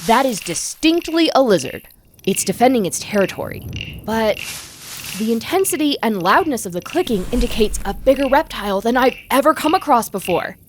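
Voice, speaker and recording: A woman's voice: on the high side, expressive, normal speed, lightly acted. A woman playing a keen, take-charge lizard researcher in an audio drama. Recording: clear background noise.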